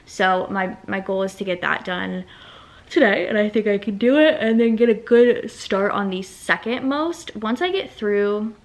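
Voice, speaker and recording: Young woman, casual vlogger, rambly and talkative, mid-pitched voice, talking through her to-do list at home. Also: animated, a little slow. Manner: chatty, rambling, thinks out loud. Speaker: vlogger and small business owner. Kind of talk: casual vlog chat.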